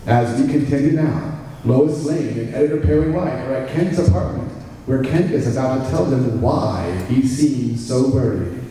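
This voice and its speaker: A man's voice: mid-range voice, normal speed, mellow, a little hiss or hum, close to an everyday voice. A man narrating as the announcer of a 1940s-style superhero radio serial, in dramatic storytelling style. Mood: dramatic, urgent. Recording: echoey hall, far from mic.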